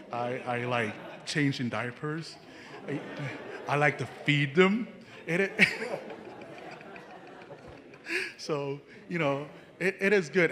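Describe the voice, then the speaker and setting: A young Jamaican man, a nursing student, telling an audience about his path in an earnest, halting way, with many restarts. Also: on the high side, fairly bright tone. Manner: earnest, halting, frequent restarts, chuckles at his own stories. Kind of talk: personal story talk to an audience.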